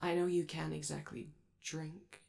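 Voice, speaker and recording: A woman playing a friendly, awkward young male robot in a soft ASMR roleplay, with a creaky voice and a hesitant, rambling, apologetic delivery. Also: sits low, flat delivery, a little slow, heavily acted. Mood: nervous, reassuring.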